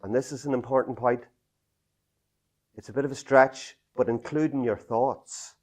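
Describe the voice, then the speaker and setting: A middle-aged Irish man giving an unscripted talk, halting with many fillers and restarts, in a small meeting room heard from a camera some distance away. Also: medium pitch, steady tone, darkish tone. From Ireland. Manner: halting, many fillers and restarts, gestures a lot while talking.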